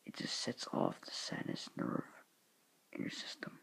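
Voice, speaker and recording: A teenage boy talking to his viewers in a soft, hushed, halting whisper, earnest and sorrowful in tone. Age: teens. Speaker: student vlogger. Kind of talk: whispered somber address to viewers.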